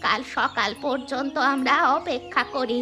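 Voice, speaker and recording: High sweet village lady comforting her friend, woman doing the voice, music behind. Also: fairly even intonation, normal speed, close to an everyday voice. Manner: gentle, reassuring. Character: kindly village woman, neighbor and helper. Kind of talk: cartoon voice acting.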